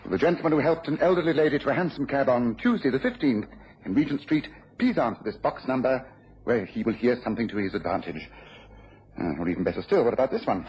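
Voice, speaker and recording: An actor playing a restless, analytical gentleman detective in a radio drama, theatrical and precise. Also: mid-range voice, natural voice. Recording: dull lo-fi radio recording, faint noise.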